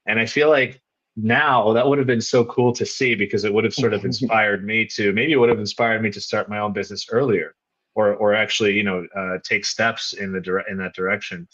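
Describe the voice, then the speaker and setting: A man hosting a podcast, asking rambling, curious questions in a low voice. His voice comes through a dull, lo-fi remote call line. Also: fairly even intonation, brisk, a bit bright. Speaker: podcast host. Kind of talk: interview questions, casual.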